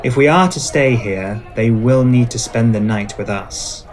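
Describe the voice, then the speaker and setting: Man acting as old vampire lord, courtly, measured, wary, commanding, medium pitch, ASMR roleplay. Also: natural voice. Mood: grave, cautious. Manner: formal, measured, commanding phrasing. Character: ancient, suspicious, courtly dominant male vampire.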